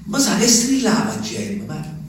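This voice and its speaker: A man's voice: high-pitched, lively intonation, normal speed. An actor playing an ageing, lonely peasant man, rambling and repeating himself in a theatrical monologue. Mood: wistful. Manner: rambling, repetitive, swings from hushed to exclaiming. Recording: echoey, distant stage recording, faint noise.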